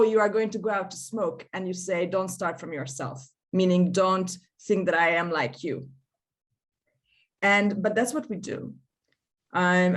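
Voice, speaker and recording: A woman speaks in a slightly low voice. She co-hosts a relaxed discussion over a video call. She thinks out loud and often restarts her sentences. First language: Hungarian. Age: 30s. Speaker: co-host. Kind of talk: casual panel discussion.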